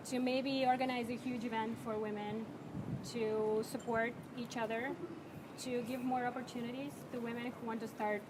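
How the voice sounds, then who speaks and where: A woman speaking as an organizer, introducing a panel discussion at a live event. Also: on the high side. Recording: clearly noisy event ambience.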